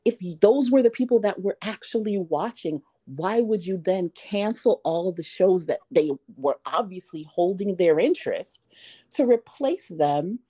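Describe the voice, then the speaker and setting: A woman's voice: on the low side, animated, measured pace, mellow, slightly rough. A woman in her thirties co-hosting a casual talk podcast, making her points emphatically with rhetorical questions. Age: 30s. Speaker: podcast co-host. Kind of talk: casual chat.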